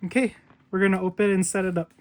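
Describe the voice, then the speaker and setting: Casual unboxing host at an everyday pitch. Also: flat delivery, fast, a little hiss or hum. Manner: informal step-by-step show-and-tell. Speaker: video host.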